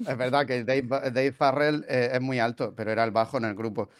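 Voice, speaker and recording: A man with a Spain Spanish accent, hosting a casual music podcast. He tells the story in a loose, chatty flow and keeps dropping puns. His voice sits at an ordinary middle pitch. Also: fairly even intonation, brisk, clear, resonant tone. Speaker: podcast host. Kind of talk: casual podcast chat about a band's history.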